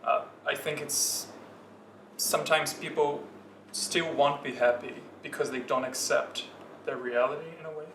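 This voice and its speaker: A man's voice: a little high, steady tone, unhurried, fairly bright tone. A young man from Brazil, a student guest talking casually and reflectively in a conversation. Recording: echoey room with clear noise.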